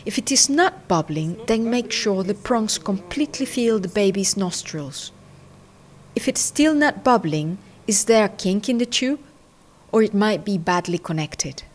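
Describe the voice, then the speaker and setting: A woman reading scripted narration for a training film in a measured, instructional manner, step by step. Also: lowish voice, darkish tone.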